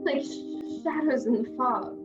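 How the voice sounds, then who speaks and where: A woman playing a distraught young woman leaving a relationship in a fan-made drama, halting and emotional, on a dull video-call recording. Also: high voice, echoey room, over music. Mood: tearful, distressed.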